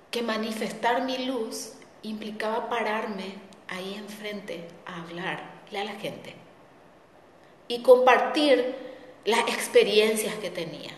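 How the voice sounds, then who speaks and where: A woman's voice: mid-range voice, a little hiss or hum. Reflective woman in an echoey room. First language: Spanish. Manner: reflective, warm storytelling with repetitions. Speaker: host, spiritual coach. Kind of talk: personal testimony talk. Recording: echoey room with long reverb.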